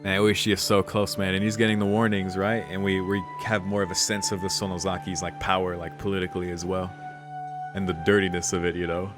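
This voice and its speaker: Low-pitched man, laid-back gaming commentary. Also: flat delivery, a little fast. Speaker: let's play streamer. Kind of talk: casual gaming commentary, reading subtitles aloud. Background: game music.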